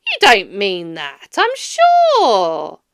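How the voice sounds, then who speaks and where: A woman's voice: huge pitch swings, very slow, no echo, studio quality, full character voice. A woman voicing a cheerful young man who speaks eagerly and gaily to his uncle. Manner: bright, eager, high and loud. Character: cheerful young nephew, warm and pleading.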